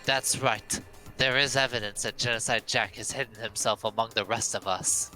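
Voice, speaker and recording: Man putting on a pompous, forceful young heir. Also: fairly low pitch, steady tone, moderate pace, faint music behind, poor audio. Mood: intrigued, smug. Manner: pompous, declaiming. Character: haughty, pompous young heir relishing a murder mystery.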